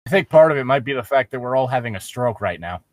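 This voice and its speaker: A man's voice: medium pitch, expressive, quick pace, no echo. A sarcastic, foul-mouthed man co-hosting with casual comedic banter. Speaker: co-host.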